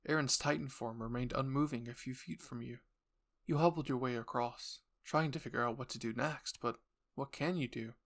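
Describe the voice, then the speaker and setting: A man's voice: medium pitch, moderate pace, vocal fry, fairly bright tone. A man narrating an audio roleplay story in the second person with an earnest, theatrical delivery.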